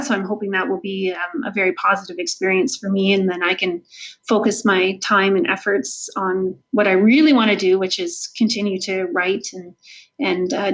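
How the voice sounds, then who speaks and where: Woman, casual interview guest, author, medium pitch, dull lo-fi sound. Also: fairly bright tone. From Canada. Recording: dull lo-fi audio.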